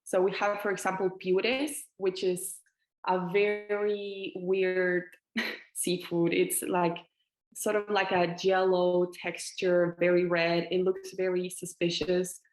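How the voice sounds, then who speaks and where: A woman's voice: on the low side, little pitch movement, slow, reverberant, low-quality recording. A Chilean woman in her twenties, a native Spanish speaker, answering interview questions casually with many fillers and restarts. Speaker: author guest.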